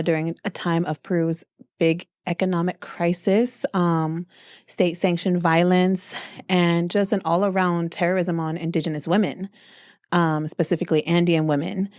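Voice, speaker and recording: A woman in her thirties, born in Peru, shares her story as a podcast guest. Her voice sits on the low side and the recording is dry and close, with no room sound. She talks in an earnest, relaxed way and laughs easily. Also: dry recording. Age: 30s. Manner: earnest, easygoing, laughs often. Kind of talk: personal storytelling in conversation. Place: podcast studio, seated beside the host.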